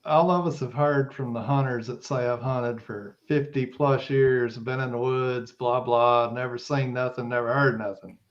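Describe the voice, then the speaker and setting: Man, medium pitch, folksy, plain-spoken, casual talk, dull lo-fi recording. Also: fairly even intonation, moderate pace, hardly any pauses. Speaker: podcast guest, Bigfoot investigator. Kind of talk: casual conversational discussion.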